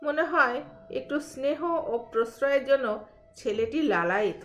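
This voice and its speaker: High-voiced woman reading a story. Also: lively intonation, moderate pace. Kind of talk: expressive literary audio story narration. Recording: roomy echo under soft music. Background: soft background music.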